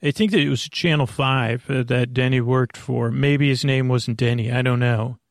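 A man hosting a bedtime podcast in a creaky, lulling voice, meandering and halting as he drifts off topic and loses his thread. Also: medium pitch, fairly even intonation, dry recording, studio quality. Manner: lulling, soothing delivery. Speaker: host of a bedtime sleep podcast. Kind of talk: rambling, off-topic bedtime monologue.

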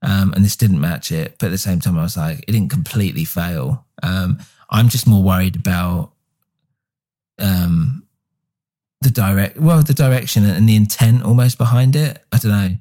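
A man with a nasal voice and a very low pitch gives an album review on a podcast. His talk rambles, full of ums and you-knows. His tone is dark and mellow. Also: moderate pace, dry recording, clean studio sound. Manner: rambling, hesitant, many fillers. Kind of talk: casual review chat.

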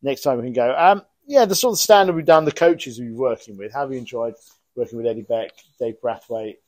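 Guy hosting a club podcast, rambly and chatty, normal pitch, dry sound. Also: fairly quick, no echo. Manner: chatty, rambling, thinks aloud. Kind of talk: casual podcast interview.

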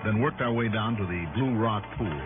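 An actor playing an easygoing, confident investigator with an American accent in a 1960s radio drama. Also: male voice, on the low side, normal speed, loud music behind the voice, close to an everyday voice. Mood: wry narration. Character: easygoing, confident male investigator narrating his case. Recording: tinny old radio recording.

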